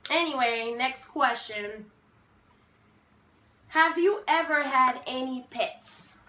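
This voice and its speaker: Bright, unhurried playful woman in her twenties. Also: sits high, reverberant. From Haiti. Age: 20s. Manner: playful, self-assured, laughs often. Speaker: vlogger host. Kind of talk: casual sibling Q&A chat.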